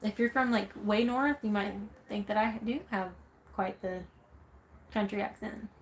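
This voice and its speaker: A woman's voice: medium pitch, unhurried, slight background noise. A woman with a Southern US accent, chatting casually and candidly as a vlogger while reading out and answering viewer comments. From Southern United States.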